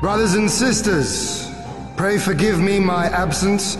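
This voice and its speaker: An actor playing an earnest, commanding exiled lord in a fantasy game, speaking formally and statelily. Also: male voice, high voice, slow pace. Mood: rallying, earnest. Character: noble exiled lord leading his homeland's liberation, earnest and commanding. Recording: echoey reverberant hall, music behind.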